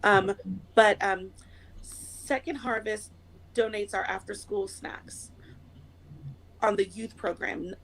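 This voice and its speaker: A woman guest, a little hoarse from a cold, talking nervously and quickly with many fillers and restarts. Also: mid-range voice, fairly even intonation, a bit bright, low-quality recording. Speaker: interview guest. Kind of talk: conversational interview.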